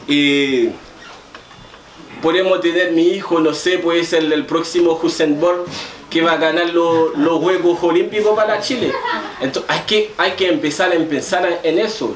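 A middle-aged man speaking emphatically on a panel, repeating phrases for stress as he makes his case to an audience. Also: fairly high pitch. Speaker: panel speaker, migrant rights advocate. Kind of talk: impassioned panel talk to an audience. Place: small room with hard walls, indoors. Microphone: far camera microphone. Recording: distant mic, echoey room, faint noise.

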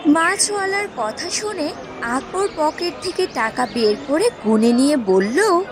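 A woman narrating a scripted cartoon fairy tale in an expressive storytelling style. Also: high voice, a little slow, crowd noise.

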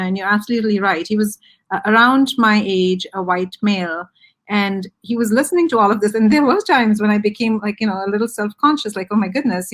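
Reflective woman on a video call. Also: mid-range voice. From India. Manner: reflective, searching for words, laughs easily. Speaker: guest author. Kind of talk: casual video-call conversation. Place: home room with bookshelves.

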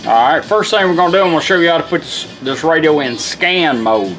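Folksy man, fairly high-pitched voice. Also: animated, quick pace. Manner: folksy, plain-spoken, hands-on. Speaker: car radio restorer and demonstrator. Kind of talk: casual product demonstration. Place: indoor workshop bench. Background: music from the demonstrated radio.

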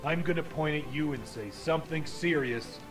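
An actor playing a self-serious squad soldier in a parody shooter game, with dramatic delivery. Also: male voice, on the high side, normal speed, close to an everyday voice. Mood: mock serious. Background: loud game music.

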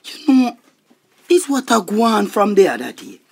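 A woman's voice: mid-range voice, very animated, vocal fry, husky, heavily acted. A woman playing a flustered, self-important money minister in a radio comedy, with a Jamaican accent and emphatic repetition. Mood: anxious, puzzled. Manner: repeats phrases emphatically, halting when agitated. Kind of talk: radio comedy drama acting.

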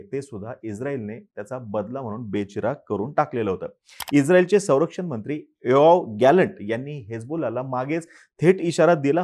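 Man hosting a steady news explainer. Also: medium pitch. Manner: steady explanatory delivery with occasional filler pauses.

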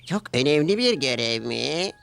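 A man voicing a small, brave but anxious male locomotive in a children's cartoon, earnest, polite and excitable. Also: mid-range voice, moderate pace, creaky, voice pushed up. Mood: eager, polite. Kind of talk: children's cartoon storybook character voice.

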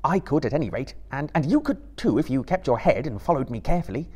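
A man's voice: medium pitch, expressive, fast talker, darkish tone, breathy edge, voice pushed up. A man voicing an earnest, determined young male hobbit who urges his companion on in a lifted voice. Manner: urgent, raised and pleading.

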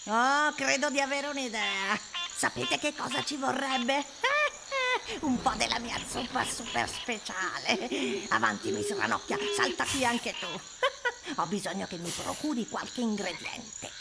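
A woman voicing an eccentric, bossy old wise woman of the swamp in an animated video game, theatrical and cackling. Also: fairly high pitch, a little slow, gravelly, full character voice. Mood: gleeful. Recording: loud background noise, swamp insect ambience.

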